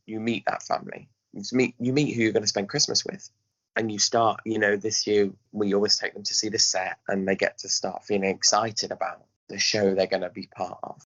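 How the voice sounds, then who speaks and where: Bright-voiced English man, slightly muffled recording. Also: lowish voice. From England. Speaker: guest, pantomime director and choreographer. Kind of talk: conversational interview. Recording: dull lo-fi audio.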